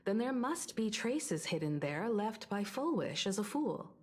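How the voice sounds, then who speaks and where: A woman voicing a cool, resolute, sharp-minded young fighter in a video game, speaking deliberately. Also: medium pitch, sing-song. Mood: cool, explanatory.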